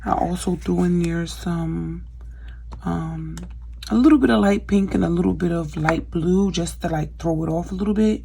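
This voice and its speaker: Young woman, craft vlogger, low gravelly voice, chatty and filler-heavy, faint hiss behind. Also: normal speed, dark tone. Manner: chatty, many fillers, addresses viewers as "you guys". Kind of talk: casual how-to vlog chat.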